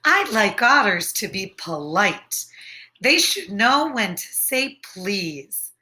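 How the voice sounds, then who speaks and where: Storybook rabbit, played by a woman: male, worried and fussy, hesitant questioning delivery, unhurried, with big pitch swings. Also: sing-song, sharp tone, exaggerated voice. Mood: earnest. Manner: hesitant, questioning, pondering aloud. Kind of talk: picture-book read-aloud for children.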